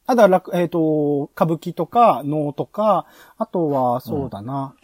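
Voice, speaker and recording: A man hosting a podcast, casually explaining proposals in a halting way, stretching filler vowels and correcting himself as he goes. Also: a little high, lively intonation, a little mellow, no echo. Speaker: podcast host.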